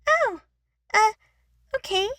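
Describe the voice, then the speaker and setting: A woman voicing a timid, pleading young chipmunk sister who talks haltingly in an audio story. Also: extremely high pitch, animated, sharp tone, no echo, clean studio sound, pitched above the performer's own voice, exaggerated voice. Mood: eager, awkward. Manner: hesitant, halting. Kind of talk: fan fiction audio story acting.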